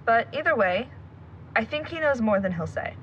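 A woman's voice: on the high side, expressive, normal speed, lightly acted. A woman playing a blunt, suspicious young woman in a naturalistic audio drama. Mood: suspicious, guarded. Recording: dull recording with faint noise and ambience.

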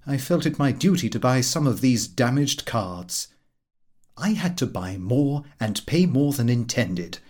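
A man narrating a comic diary audiobook in an expressive, fussy and aggrieved theatrical manner. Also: mid-range voice, breathy edge.

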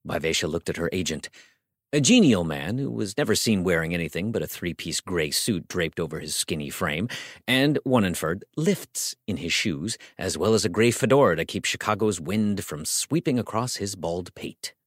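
A man's voice: sits low, animated, moderate pace, no echo, clean studio sound. A man narrating a comic story as a scripted audiobook, theatrical and wry, savoring his phrases.

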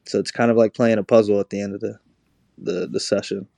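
A man guesting on a podcast as a musician, chatting casually and in a relaxed way with frequent fillers. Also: fairly low pitch, fairly quick, creaky, dry recording, clean studio sound. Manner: relaxed, uses fillers like um and you know. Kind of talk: casual interview chat.

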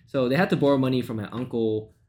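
A man in his thirties, originally from Malaysia, speaking as a podcast guest at a middle pitch. He tells his story in long, run-on stretches, full of fillers and quick asides. The tone is conversational. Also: steady tone, a little mellow. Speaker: sales coach guest. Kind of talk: podcast interview.

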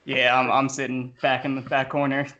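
Guy hosting a podcast, casual chat, medium pitched voice, on a call from his kitchen. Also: monotone, moderate pace, sharp tone, highs cut off. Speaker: podcast host. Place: home kitchen.

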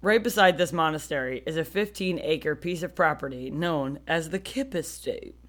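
A woman's voice: low-pitched, moderate pace. An American woman co-hosting a podcast, telling stories in a casual, slangy, self-deprecating comic way. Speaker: podcast co-host. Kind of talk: casual comic storytelling chat.

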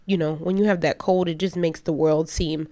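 A woman in her late twenties hosting a podcast, with a stuffy nose from a cold, reading in a lively, expressive way. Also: sits low, normal speed. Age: late 20s. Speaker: podcast host and DJ. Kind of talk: scripted podcast narration.

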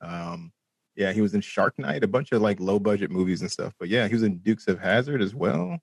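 A man's voice: on the low side, expressive, brisk, creaky, husky, dry recording. A man talking casually about movies as a podcast co-host, rambling along and swearing freely. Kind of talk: casual movie discussion among friends.

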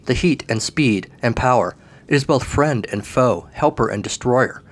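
A man voicing an oily, grandiose fire salesman creature in a comic audio story, pitching with theatrical flourish. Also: normal speed, close to an everyday voice. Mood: theatrical salesy.

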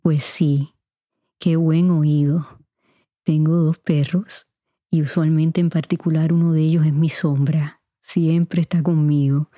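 A middle-aged woman with a mostly neutral Puerto Rican Spanish accent, hosting a podcast and answering listener questions in an unhurried, chatty way, speaking straight to her listeners. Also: sits low, level tone, warm tone, no echo, clean studio sound. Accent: Puerto Rican Spanish, kept mostly neutral. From Puerto Rico. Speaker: podcast host. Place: home recording room. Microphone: studio mic.

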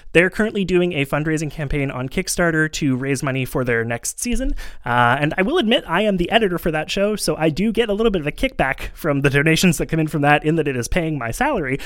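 A man from Canada co-hosting a podcast, chatting casually in a relaxed, rambling way and chuckling often. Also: a little high, quick pace, few pauses, no room sound, clean studio sound. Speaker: podcast co-host.

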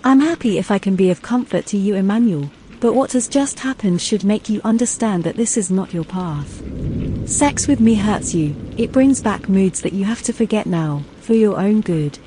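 Name: Antonia. Figure: soft, consoling young woman, voiced by a male narrator. Tone: mellow, high. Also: normal speed, slight background noise, close to an everyday voice. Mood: tender. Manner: soft, tender, soothing. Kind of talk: audiobook character voice.

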